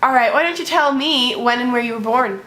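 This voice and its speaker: A young woman playing a talk show host who reads out her questions in a scripted, upbeat way. Her voice is a little high. The room is echoey, with faint hiss behind it. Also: fairly quick, pushed and bright. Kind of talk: school project mock talk show.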